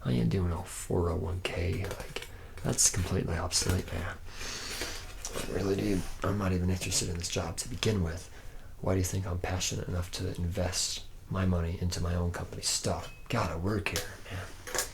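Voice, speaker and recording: Casting: male actor as charming barfly robot mechanic, low gravelly voice, slangy rambler, intimate ASMR roleplay with noticeable noise. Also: fairly even intonation, moderate pace. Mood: rambling, boastful. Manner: rambling, slangy, buddy-buddy, slightly self-deprecating. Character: charismatic, boozy, slacker male robot mechanic, a womanizer. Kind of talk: improvised ASMR roleplay, addressing the listener as a trainee.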